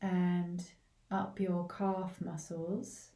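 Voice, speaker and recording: A woman leading a guided body scan meditation in a gentle, unhurried way, leaving long pauses between short phrases. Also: lowish voice, level tone. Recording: roomy, echoing, distant from the mic.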